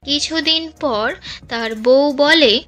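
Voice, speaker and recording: A woman narrating a scripted cartoon story for children in a gentle storytelling manner. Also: high-pitched, takes it slowly, soft background music.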